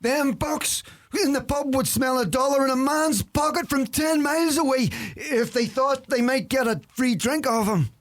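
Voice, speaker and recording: Radio play: blunt, grumbling Irish countryfolk type from Donegal female actor, very high voice, sharp-tongued. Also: normal speed, natural voice. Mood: scornful. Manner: blunt, salty, colloquial.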